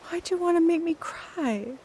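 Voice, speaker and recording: A woman's voice: very high voice, expressive, moderate pace, dark tone, a little hiss or hum. An actress playing a fond wife who teases her husband in a radio drama. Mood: playful. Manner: playful teasing. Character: teasing wife, playful and fond.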